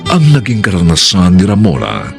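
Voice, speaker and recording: Filipino guy narrator for radio soap, deep voice, dramatic big intonation, loud guitar music under him. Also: huge pitch swings, normal speed, gravelly. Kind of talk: dramatic scripted introduction.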